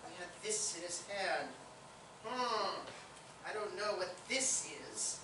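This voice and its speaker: An actress playing a flustered, earnest amateur sleuth in a murder-mystery stage farce, speaking emphatically. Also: female voice, medium pitch, slow, lightly acted. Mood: excited conviction. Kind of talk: comic stage acting at a staged reading. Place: theatre stage, recorded from audience distance. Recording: echoey theatre, distant mic, clear noise.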